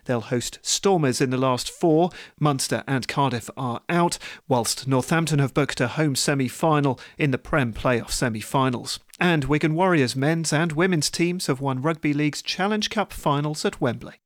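A man reading a radio sports news bulletin in a brisk, even newsreader manner. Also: on the high side, dry recording. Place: radio studio.

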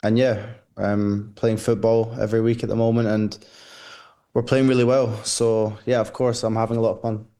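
A man's voice: lowish voice, flat delivery, normal speed, dark tone. A young Scottish man with a Glasgow accent, a footballer answering interview questions hesitantly, with many fillers and repeated words. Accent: Glaswegian. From Scotland. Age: 20s.

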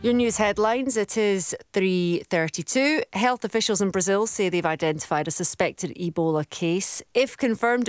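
A woman presenting a radio show, bubbly and quick to laugh, chatting with callers and reading news. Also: lowish voice, no room sound, studio quality. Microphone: studio microphone.

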